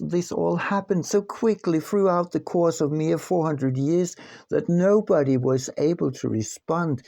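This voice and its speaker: A man narrating his own audiobook from a script in a deliberate, emphatic manner. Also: mid-range voice, vocal fry, warm tone, no echo. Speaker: author reading own book.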